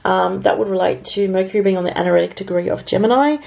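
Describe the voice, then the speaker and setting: An Australian woman with a raspy voice, still recovering from illness, giving a casual weekly astrology and tarot forecast in a rambling, thinking-aloud way. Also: medium pitch, fast, a little mellow.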